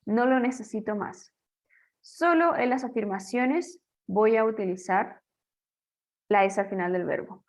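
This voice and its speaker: A woman teaching English grammar in Spanish, patiently explaining the rules and asking and answering her own questions. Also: a little high. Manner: slows down to model English example sentences. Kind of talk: recorded grammar lesson.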